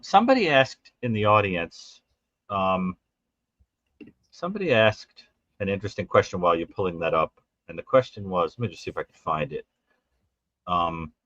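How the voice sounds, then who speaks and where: A man talking as a guest on a live video call, rambling and halting with many restarts. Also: deep voice, expressive, sharp tone, dry recording. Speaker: forensic psychologist guest. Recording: dry, muffled call audio.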